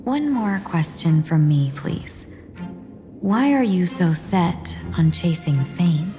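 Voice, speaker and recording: A woman voicing a cool, shrewd mercenary negotiator in a video game, speaking in a measured, probing way. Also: lowish voice, lively intonation. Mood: questioning. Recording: long reverb, music behind. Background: game background music.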